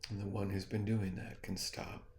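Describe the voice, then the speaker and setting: A man leading a guided meditation as a teacher, speaking in a soothing, gently suggestive way with long, unhurried pauses. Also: lowish voice, level tone, slight background noise. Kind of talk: guided meditation, hypnotic spoken guidance.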